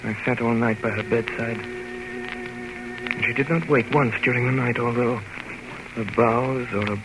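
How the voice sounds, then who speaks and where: An actor playing an earnest, sombre gentleman diarist, measured and dramatic, in 1930s radio narration style. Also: mid-range voice, steady tone, over music, close to an everyday voice. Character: earnest, sombre gentleman reading from his private journal. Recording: noisy 1930s radio recording.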